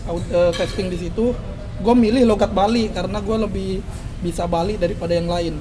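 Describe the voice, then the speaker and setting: A young man from Makassar, a comedian, telling stories in a lively casual chat and laughing often. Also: high voice, a little fast, music in the background, poor audio. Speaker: comedian guest.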